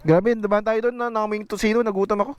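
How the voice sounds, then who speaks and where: A man's voice: sits high, steady tone, fast, dull audio. Chatty Filipino man vlogging through noise. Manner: chatty, informal, mixes English phrases into talk. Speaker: motorcycle vlogger. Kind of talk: casual vlog commentary. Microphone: helmet intercom headset. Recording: clearly noisy outdoor intercom audio.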